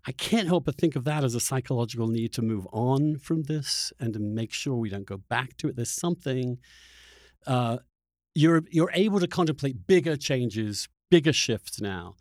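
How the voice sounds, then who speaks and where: A man in a dry, close recording hosts a thoughtful conversation. His voice sits at an average pitch. He talks reflectively, pausing and repeating words while he searches for the next idea. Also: lively intonation, darkish tone, no room sound. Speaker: podcast host interviewer.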